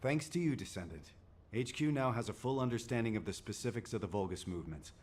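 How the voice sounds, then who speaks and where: A serious commander, lowish voice, steady briefing. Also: male voice, fast talker, breathy edge.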